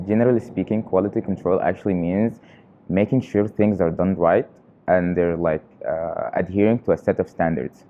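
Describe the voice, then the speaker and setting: A young man from Qatar, a research trainee, answering interview questions in an earnest, halting way with frequent pauses. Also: low voice, steady tone, phone-quality sound, slight background noise. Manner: earnest, halting, often pausing on fillers.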